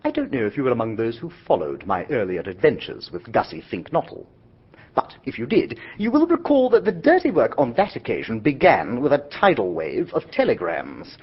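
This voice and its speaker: Man playing a young toff narrator, chatty, sing-song, husky, dull lo-fi sound. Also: medium pitch, wildly swooping pitch, brisk. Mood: breezy. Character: young English gentleman, breezy, flustered, narrating. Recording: dull lo-fi recording.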